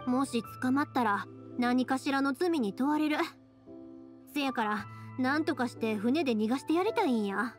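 High-voiced spirited Kansai girl. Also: lively intonation, moderate pace. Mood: concerned. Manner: reassuring, friendly, earnest. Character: spirited, caring young woman who makes fireworks. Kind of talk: video game voice acting. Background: background music.

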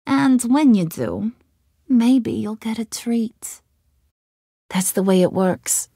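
A woman's voice: fairly high pitch, lively intonation, slow, mellow, slightly rough, dry recording, clean studio sound, exaggerated voice. An actress playing a cunning, menacing young female gang boss in a video game, taunting and theatrical, swinging from sweet to threatening. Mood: scheming.

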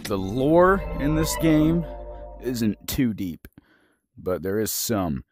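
A man's voice: mid-range voice, sing-song, slow pace, faint music behind. A man casually commentating as he plays a horror game, swearing often and reacting with sudden jumps. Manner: swears often, jumpy reactions, explains mechanics aloud. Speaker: gaming youtuber. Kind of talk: casual let's-play commentary.

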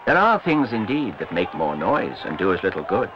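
A man giving a formal literary reading in a deliberate, rhetorical way. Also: low-pitched, very animated. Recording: dull, noisy soundtrack with crowd sound.

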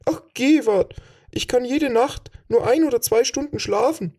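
A man's voice: very high voice, a little slow, a little mellow, breathy edge, pitched above the performer's own voice, full character voice. A man voicing an overworked, kindly servant woman who laments and apologises, in a fantasy audiobook. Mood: weary lamenting. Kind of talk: audiobook character voice.

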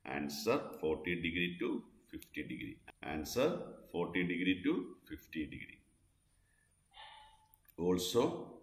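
A man with a low-pitched voice reads out a scripted lesson like an instructor. He goes slowly and deliberately, repeating himself as he moves through questions and answers. The recording is noticeably echoey and roomy.

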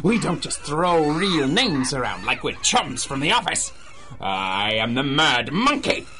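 An actor playing a deranged, gleeful supervillain who commands monkeys, theatrical and taunting, in a 1930s-style radio drama. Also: male voice, fairly high pitch, huge pitch swings, unhurried, pushed and bright, a little hiss or hum, heavily acted. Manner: theatrical, taunting, cackling, swinging from croon to shriek.

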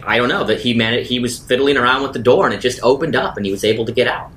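A man's voice: rapid-fire, fairly bright tone. A man improvising dialogue and narration as a player in a tabletop roleplaying game. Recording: faint background noise and rumble.

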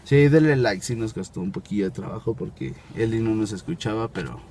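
Young Mexican man talking haltingly in a car. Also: on the low side, lively intonation, warm tone, a little hiss or hum. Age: young adult. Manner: halting, hesitant explaining. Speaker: prank caller, video host. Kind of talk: improvised prank phone call and casual chat. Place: inside a small car. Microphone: camera at arm's length.

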